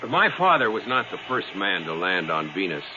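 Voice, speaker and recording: An actor playing an earnest, insistent space pilot in a 1950s radio drama, emphatic and probing. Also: male voice, sits low, very animated, normal speed. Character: earnest, insistent young space pilot, proud of his father. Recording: dull old 1950s radio recording.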